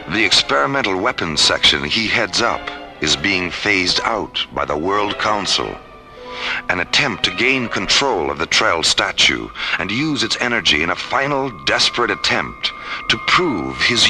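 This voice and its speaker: A man narrating a scripted radio drama in a grave, measured, theatrical manner. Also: low-pitched, gravelly.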